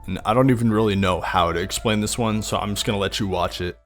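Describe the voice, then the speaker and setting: Low-voiced man doing video commentary. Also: quick pace, a bit bright, soft background music. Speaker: YouTube commentator.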